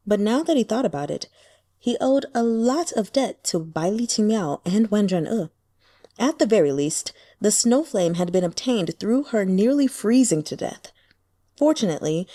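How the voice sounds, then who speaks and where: A woman reading a scripted story as an audiobook narrator, with an expressive, dramatic delivery. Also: medium pitch.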